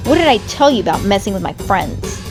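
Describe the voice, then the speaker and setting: A woman voicing a fierce, playful female fox governor in a children's story, bold and quick with a quip. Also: mid-range voice, sing-song, music in the background.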